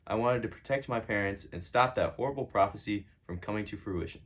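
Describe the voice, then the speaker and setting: A man's voice: on the low side, brisk, a bit bright. A man playing a hot-headed, sarcastic king in a casual amateur puppet show. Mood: uneasy, confiding.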